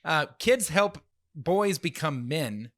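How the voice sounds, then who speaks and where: A man with a slightly nasal voice co-hosting a casual talk show, animated and laughing often. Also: a little high, slow pace, dry recording. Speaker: podcast co-host. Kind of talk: casual chat.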